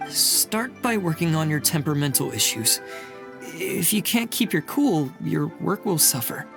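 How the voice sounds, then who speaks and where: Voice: mid-range voice, animated, a little slow, gravelly. An actor playing a sensible young colleague in a game, speaking earnestly. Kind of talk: game character dialogue. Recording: game audio with music behind.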